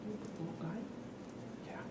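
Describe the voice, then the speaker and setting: A man streaming ASMR, speaking softly in a gentle, coaxing way and chatting casually. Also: normal speed, far from the mic, very noisy. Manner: soft whispering, gentle coaxing talk.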